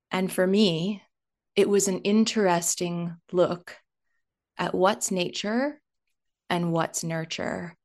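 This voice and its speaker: A woman narrating a podcast segment in a measured, reflective way. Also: on the low side, vocal fry. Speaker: narrator, partner of a guest. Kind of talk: scripted narration.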